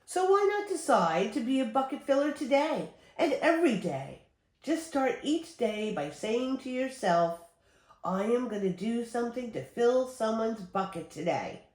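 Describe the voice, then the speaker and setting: Older woman reading slowly, very animated. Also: fairly high pitch. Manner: simple, deliberate phrasing aimed at young children. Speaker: storyteller reading to children. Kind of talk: expressive read-aloud of a children's picture book. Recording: roomy echo with background noise.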